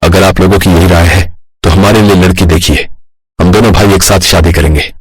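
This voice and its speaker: A man playing an earnest young village labourer and son, husky and plain-spoken, in an animated moral story. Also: sits low, brisk, voice pushed down, lightly acted, poor audio.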